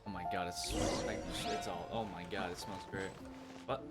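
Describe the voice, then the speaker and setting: A man's voice: low-pitched, steady tone, moderate pace. A man doing casual live gameplay commentary, informally musing aloud as he plays. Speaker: game streamer. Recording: loud game music behind the voice.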